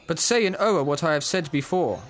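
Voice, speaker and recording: A measured lord and father, acted by a man with a fairly high male voice. He delivers Shakespearean verse in a stately, hospitable manner, as in an amateur radio play. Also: expressive, lightly acted. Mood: cautious. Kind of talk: student audio drama of Shakespeare.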